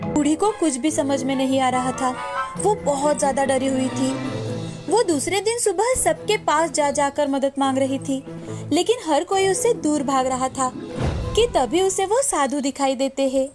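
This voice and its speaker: ऊँची आवाज़ वाली महिला कथावाचक. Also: female voice, high-pitched, unhurried, music in the background. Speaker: narrator. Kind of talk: scripted story narration.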